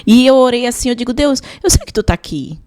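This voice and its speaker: A woman's voice: a little high, expressive, fast talker, darkish tone. A woman with a Brazilian accent telling personal testimony as a podcast guest, emphatic, repeating phrases and voicing her own prayers.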